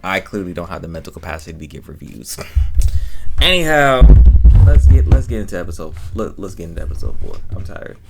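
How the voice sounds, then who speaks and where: A man's voice: low voice, very animated, fairly quick, vocal fry, very noisy. A man giving casual, off-the-cuff reaction commentary as a host, rambling and repeating his phrases as he goes.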